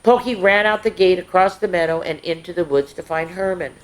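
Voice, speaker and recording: A woman hosting story time, reading aloud to young children in an expressive way with little asides. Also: on the low side, a little fast, low-quality recording.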